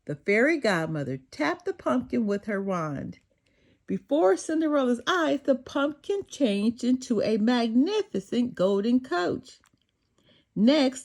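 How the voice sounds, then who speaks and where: An older woman reading a children's storybook aloud, expressive and sing-song, with occasional small slips. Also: fairly high pitch. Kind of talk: children's read-aloud narration.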